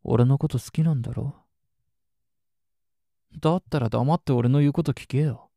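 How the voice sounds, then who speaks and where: Guy doing bossy sadistic yandere bf, cold n taunting, dark mellow voice, close dry sound. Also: medium pitch, normal speed, vocal fry, no room sound. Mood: domineering, menacing. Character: sadistic, possessive, domineering yandere boyfriend. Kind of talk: Japanese situation voice acting.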